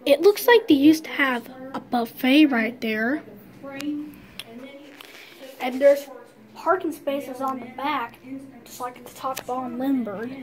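Woman vlogging on her phone outside, high voice, slow and rambly. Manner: rambling, repeats "well, whatever". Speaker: vlogger exploring an abandoned building. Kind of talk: casual handheld walk-through narration. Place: outdoors around a closed restaurant building.

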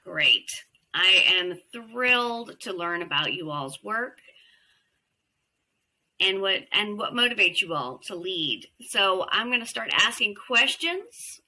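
Woman moderator, bright intense voice, encouraging questioner. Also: medium pitch, animated, slow, rough recording. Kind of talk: panel moderation on video call.

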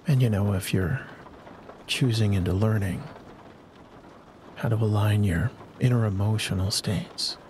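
A man with a lowish voice guides listeners toward sleep in a hypnosis narration. He speaks very slowly and soothingly, leaving long pauses between short phrases. A soft bed of rain and thunderstorm sound sits behind his voice. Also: fairly even intonation, a little hiss or hum. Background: rain and distant thunder ambience.

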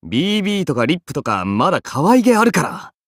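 Young game archer: roguish, wry, teasing slacker, casual drawl, animated intonation, dry studio sound. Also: male voice, on the high side, fast, dry recording. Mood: exasperated. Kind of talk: Japanese mobile game character voice lines.

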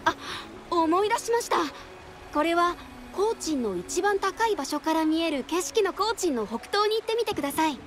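A woman's voice: extremely high pitch, sing-song, normal speed, slight background noise. A woman voicing a polite, earnest young female admirer who gushes, in a Japanese video game. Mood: flustered. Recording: loud music behind the voice.

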